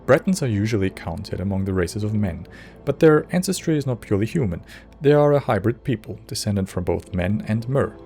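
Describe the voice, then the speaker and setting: Male video essay narrator, low pitch, measured and wry scripted delivery, music underneath. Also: expressive, mellow. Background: background music.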